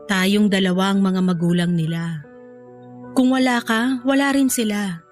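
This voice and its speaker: A woman's voice: medium pitch, measured pace, soft background music, close to an everyday voice. A woman playing a tender, remorseful wife and mother in grief, speaking earnestly and emotionally in a radio-style drama. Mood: remorseful, grieving.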